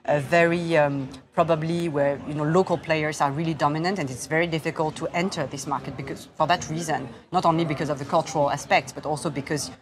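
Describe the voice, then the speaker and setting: A woman, an investor speaking in an onstage interview, measured and halting with many fillers. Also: deep voice, steady tone. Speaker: investor, fund founder. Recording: crowd murmur in the background.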